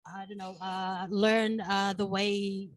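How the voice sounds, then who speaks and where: Young woman, kinda shy, halting answers, dry close mic. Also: mid-range voice, level tone, no room sound. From Samoa. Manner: shy, hesitant, soft-spoken. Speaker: guest, flight attendant.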